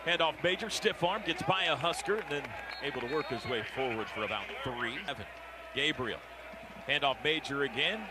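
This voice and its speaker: A man's voice: mid-range voice, animated, brisk. A man with an American accent calling football play-by-play live on the radio in clipped running descriptions. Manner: clipped running descriptions, building to shouts on big plays. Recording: radio broadcast over loud stadium noise.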